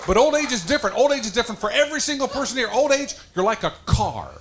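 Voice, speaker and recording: An older man doing stand-up comedy, excitable, often stammering and repeating his words, on a handheld microphone. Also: extremely high pitch, quick pace. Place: small dark comedy stage indoors. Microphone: handheld stage microphone. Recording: noisy live club stage recording.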